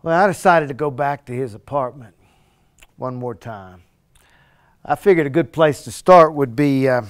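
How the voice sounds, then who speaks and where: Man acting a streetwise burglar, halting storyteller. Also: mid-range voice, natural voice. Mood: earnest, searching. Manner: halting, conversational storytelling with stumbles and repeats. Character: streetwise, remorseful male burglar telling his story. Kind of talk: one-man stage play monologue.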